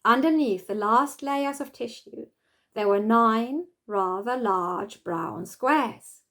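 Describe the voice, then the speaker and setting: A woman reading a children's story aloud as expressive scripted narration. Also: on the high side, slow. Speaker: storybook reader.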